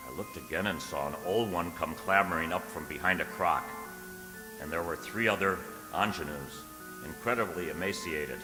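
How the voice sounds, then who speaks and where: A man's voice: sits low, moderate pace, lightly acted. A man playing a secretive, easily unnerved poet, narrating his diary in a literary, theatrical radio drama style. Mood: awed, uneasy. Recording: reverberant radio play with music underneath.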